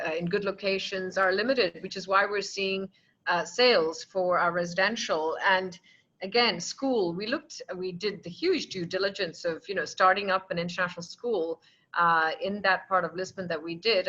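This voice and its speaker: A woman from Singapore, a company CEO, speaking on a video call panel in an animated, reasoned way. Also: medium pitch. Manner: animated, reasoned, laughs often. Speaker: company CEO, association president. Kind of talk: virtual panel discussion. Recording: dull lo-fi video call audio.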